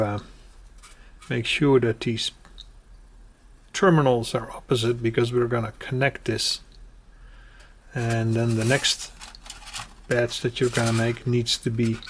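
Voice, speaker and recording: A man with a mid-pitched, very even voice talks through a hands-on tutorial. He goes step by step, haltingly, often restating an instruction. The home recording has clear background noise with clicks. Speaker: presenter of a do-it-yourself electronics tutorial. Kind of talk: informal hands-on tutorial explanation.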